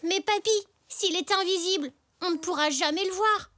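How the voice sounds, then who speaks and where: A performer voicing an eager, curious little boy zebra in a children's cartoon, excitable and earnest. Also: very high voice, moderate pace.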